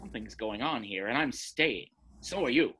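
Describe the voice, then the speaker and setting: A man playing a jealous, stuffy fiancé in a radio play, blustering and accusing in a rough-edged voice. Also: medium pitch, animated, normal speed, bright, forceful tone. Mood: indignant.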